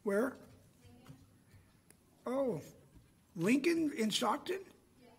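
Mayor, male, high voice, chatty and joking, informal chairing in a council chamber. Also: expressive, extremely slow, creaky, slightly rough. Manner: folksy, joking.

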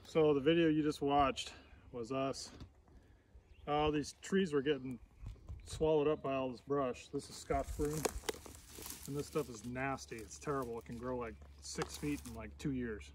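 A man hosting a casual walking tour of his tree farm outdoors, talking in a relaxed, rambling way with many fillers. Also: medium pitch, normal speed. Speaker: tree farm owner and vlog host. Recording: distant outdoor camera audio with noise.

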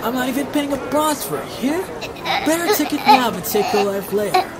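A woman's voice: sits high, lively intonation, brisk, very noisy. A woman with a Filipino accent playing a bullying, contemptuous royal guard in a fantasy audio drama. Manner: contemptuous, menacing. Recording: loud crowd and music behind.

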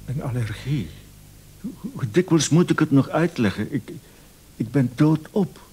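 Exhausted husky patient. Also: male voice, mid-range voice, animated, a little slow, warm tone. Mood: weary. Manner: weary, hesitant, terse. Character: exhausted, anxious male allergy patient. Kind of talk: vintage Dutch radio play acting.

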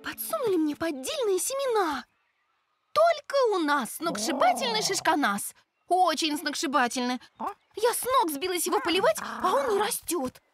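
A very high-voiced little cartoon girl creature with a bossy, emphatic streak and a soft heart. Her delivery swoops up and down over prominent music. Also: moderate pace. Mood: exasperated. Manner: emphatic, expressive, exasperated. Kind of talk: animated children's cartoon acting.

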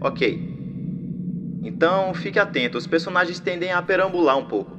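A man's voice: sits high, expressive, moderate pace, dull audio, noisy background. A Brazilian man acting as a nervous, reassuring former night guard leaving a rambling, hesitant training message in a fan dub. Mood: uneasy, downplaying. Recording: music bed under the voice.

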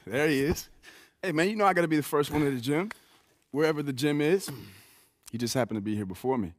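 A man's voice: on the high side, fast, dry recording, close to an everyday voice. A man playing an easygoing, driven young pro basketball player in a video game, with an American accent and casual slangy talk. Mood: eager. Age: young adult. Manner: casual, slangy, laid-back. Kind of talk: naturalistic video game cutscene acting.

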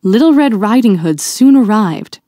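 A woman reading a fairy tale aloud to young children in a deliberate, expressive storytelling manner. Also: mid-range voice, dry recording, studio quality.

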